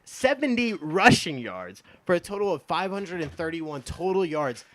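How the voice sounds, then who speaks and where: A man's voice: on the high side, big pitch swings, moderate pace, a bit bright. A man in his twenties with an American accent, chatting casually about sports as a show guest, emphatic, opinionated and loose with swearing. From United States, born in Texas and from Iowa.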